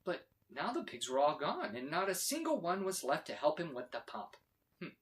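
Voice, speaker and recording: A man reading a children's story aloud with expressive, dramatic emphasis. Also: on the high side, moderate pace. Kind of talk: animated read-aloud narration for children.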